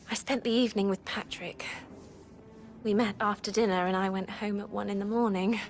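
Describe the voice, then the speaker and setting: A woman's voice: medium pitch, gravelly, lightly acted. An actress playing a nervous young female maid who speaks hesitantly. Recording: music behind.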